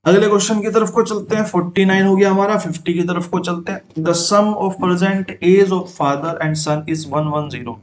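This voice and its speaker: Young male math tutor, step-by-step explainer, slightly higher pitch. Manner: talks students through each step, addressing them as brother. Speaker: math teacher. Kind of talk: exam-prep math lesson.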